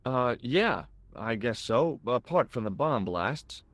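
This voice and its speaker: A young American tourist in a video game. His voice sits at a middling pitch, with an American accent and a casual, easygoing, slightly wry delivery. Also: big pitch swings, takes it slowly, fairly bright tone, no echo, exaggerated voice. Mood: casual, cooperative.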